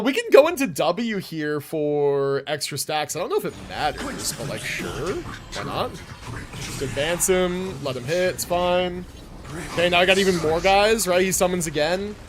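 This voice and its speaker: High-pitched, animated man livestreaming a game. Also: normal speed. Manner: thinking aloud, slangy, swearing. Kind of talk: casual live gameplay commentary. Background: game music.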